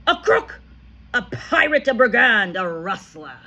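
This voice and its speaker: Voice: medium pitch, sing-song, drawn-out words, gravelly, fairly bright tone, breathy edge, pitched above the performer's own voice, heavily acted. A reader voicing a huge, tyrannical headmistress who barks and screams insults at a pupil in a storybook reading. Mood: screaming insults. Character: furious bullying female headmistress, huge and tyrannical. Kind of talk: audiobook character voice.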